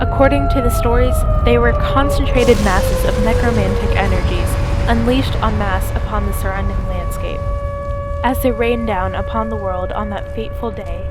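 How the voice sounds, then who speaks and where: A woman voicing a cynical pony survivor, narrating a post-apocalyptic radio play in a measured, dramatic first-person style. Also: mid-range voice, background noise, lightly acted. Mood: grave. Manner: measured, dramatic storytelling with rhetorical questions. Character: cynical female pony survivor raised in an underground bunker. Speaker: narrator and main character.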